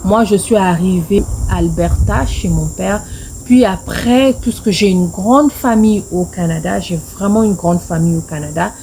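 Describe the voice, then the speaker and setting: A woman's voice: mid-range voice, normal speed, warm tone, a little hiss or hum. A middle-aged woman with a Congolese French accent tells a personal story casually, repeating phrases for emphasis. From Congo-Brazzaville. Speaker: video storyteller.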